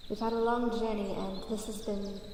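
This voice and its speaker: A woman voicing a shy, gentle young female dragon in an ASMR roleplay, speaking softly and haltingly. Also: mid-range voice, level tone, close to an everyday voice. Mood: grateful. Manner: soft, slow, halting. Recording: echoey hall from far off, faint noise.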